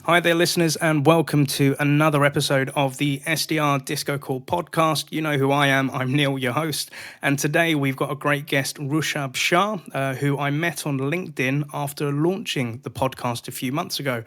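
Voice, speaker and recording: A man's voice: on the high side, fairly even intonation, normal speed, fairly bright tone. A man hosting a podcast, introducing the show and interviewing a guest. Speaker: podcast host.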